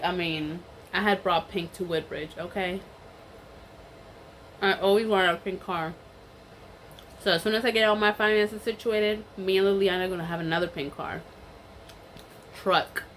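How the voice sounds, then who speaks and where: A woman's voice: fairly low pitch, fairly bright tone, slight background noise, poor audio. A young woman with a cold and a stuffy voice, telling a rambling story in a casual chat while she eats. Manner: chatty and rambling, many fillers and restarts. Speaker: vlogger.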